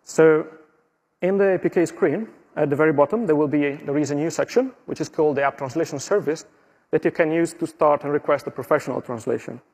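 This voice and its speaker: A middle-aged man from Italy with an Italian accent, giving a steady tech conference walkthrough with small slips and fillers. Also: on the high side, fairly quick, slightly rough. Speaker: conference presenter. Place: conference stage.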